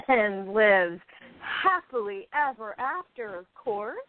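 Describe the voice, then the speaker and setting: A woman's voice: medium pitch, takes it slowly. A woman playing a feisty, sarcastic but fond young actress in a radio play, with a sassy delivery. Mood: fond, teasing. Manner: sassy, expressive. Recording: tinny, band-limited phone-like sound.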